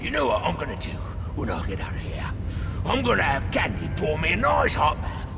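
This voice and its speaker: A man playing a blustering, vindictive crime boss in a video game, with a gravelly voice and volatile, theatrical delivery. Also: on the high side, huge pitch swings, brisk, exaggerated voice. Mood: furious. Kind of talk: video game cutscene acting. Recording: long hall reverb, music behind.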